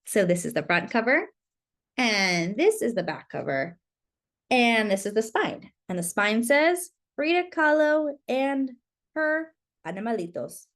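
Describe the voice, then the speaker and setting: A woman reading a picture book aloud to young children, expressively and with little asides to her listeners. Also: on the high side.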